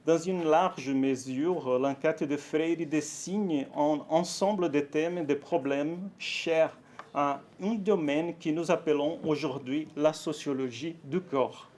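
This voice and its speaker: A Brazilian man speaking French with a Portuguese accent, giving a conference talk. His voice sits a little high for a man and he speaks slowly, pausing often and correcting his own words. He sounds careful and a bit nervous. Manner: halting, careful French with frequent fillers and self-corrections; nervous, warm, laughs lightly.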